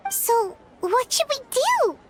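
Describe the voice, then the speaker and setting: A woman voicing a tiny, excitable fairy girl companion in a game, with a squeaky, childlike voice. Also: slow, noisy background, full character voice. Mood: puzzled. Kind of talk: scripted game dialogue.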